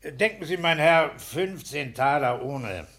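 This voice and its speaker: An actor playing a pompous, self-satisfied petty-bourgeois husband and landlord in a declamatory 1960s German radio play style. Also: male voice, fairly high pitch, lively intonation, slow pace, a bit bright, exaggerated voice. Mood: indignant. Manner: pompous, declamatory, bullying joviality.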